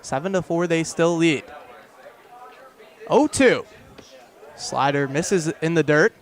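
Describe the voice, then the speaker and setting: A young man doing live radio baseball play-by-play in clipped phrases that build to excited calls. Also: on the high side, takes it slowly. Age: young adult. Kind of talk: live radio sports commentary.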